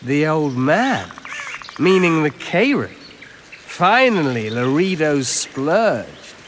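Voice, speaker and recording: An actor playing an old, world-weary elven trapper in a video game, speaking in a measured, cryptic way. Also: male voice, fairly high pitch, big pitch swings, slight background noise. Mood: wry. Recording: birdsong and outdoor ambience behind.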